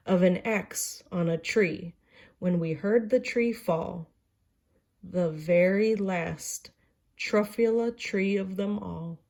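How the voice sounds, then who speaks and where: A woman's voice: on the low side, darkish tone. A woman reading a children's storybook aloud expressively, dramatic and lingering on key words. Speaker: storybook reader.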